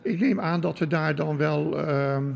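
Creaky, deferential burgomaster. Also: male voice, on the high side, measured pace, dull audio. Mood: deferential, probing. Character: deferential, calculating male burgomaster of 1602. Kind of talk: historical audio drama acting. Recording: long hall reverb.

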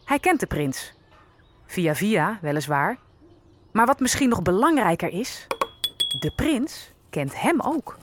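A woman's voice: medium pitch, big pitch swings, slow, pauses often, noisy background. A woman narrating a scripted historical story, telling it with wry asides.

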